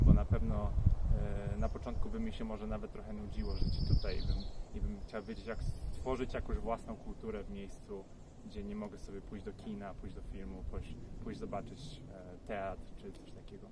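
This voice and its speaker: A man's voice: fairly low pitch, a little fast, heavy background noise. A man answering interview questions outdoors in an earnest, reflective way. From Poland. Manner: reflective, earnest, thinks aloud. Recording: crickets clearly audible outdoors.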